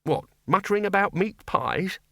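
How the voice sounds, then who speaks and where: A jittery old wizard bursar, as a man reads him, slightly raised in pitch, talking in nervous, hurried bursts. The voice sounds bright. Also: expressive, dry recording, studio quality.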